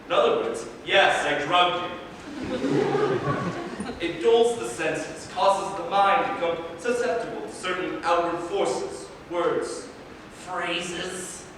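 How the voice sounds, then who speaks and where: A man's voice: high voice, takes it slowly. A man playing a gloating, bitter, vengeful villain in a staged play reading, with a mocking, theatrical delivery. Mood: smug, mocking. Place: large echoing room, staged reading. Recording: echoey hall from far, faint noise.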